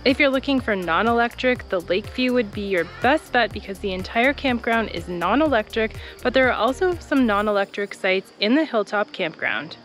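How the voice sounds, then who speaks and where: A young woman with a slightly high voice walks through a wooded park, talking into a camera she holds at arm's length. She gives clear, practical tips in a friendly, informative way. Soft music plays faintly behind her. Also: animated, normal speed, hardly any pauses. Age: young adult. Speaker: travel vlogger touring a campground. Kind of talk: informative park tour narration. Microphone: camera held at arm's length.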